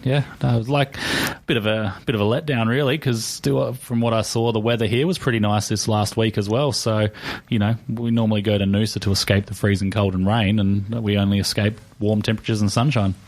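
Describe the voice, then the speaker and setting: A low-voiced man co-hosts a casual motorsport podcast. He talks in a laid-back, meandering way, stacking "um" and "yeah, no" between thoughts. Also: steady tone, a little fast. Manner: laid-back, rambling with many fillers. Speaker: co-host, race driver. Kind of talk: casual chat.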